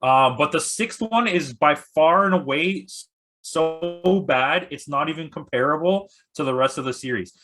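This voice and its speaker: Male podcast host, slightly high bright voice, blunt and exasperated, conversational film talk. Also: moderate pace, soft background music. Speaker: podcast host discussing films. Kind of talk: casual opinionated chat.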